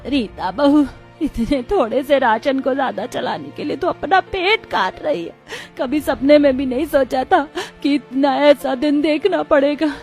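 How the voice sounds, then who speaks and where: A woman's voice: high-pitched, measured pace, darkish tone, faint music behind. A woman voicing a sorrowful, poor elderly mother-in-law in a cartoon story, tearful and lamenting. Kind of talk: Hindi animated moral story acting.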